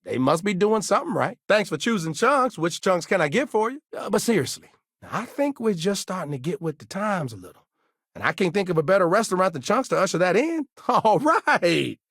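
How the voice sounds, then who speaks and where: An actor playing an eager, gullible fast-food manager in a video game, talking in an upbeat, chatty, salesy way. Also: male voice, high-pitched, sing-song, a bit bright, no room sound, clean studio sound, full character voice.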